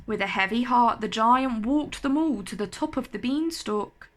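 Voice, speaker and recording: A woman reading a children's storybook aloud as narrator, with expressive, deliberate delivery. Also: on the high side.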